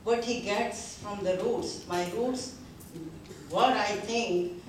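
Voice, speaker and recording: Slow, halting older woman in echoey hall. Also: medium pitch, slight background noise. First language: Hindi. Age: 70s. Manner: hesitant, many pauses and fillers. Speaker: seminar speaker. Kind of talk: halting speech to a gathering. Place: seminar hall. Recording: very echoey hall, distant mic.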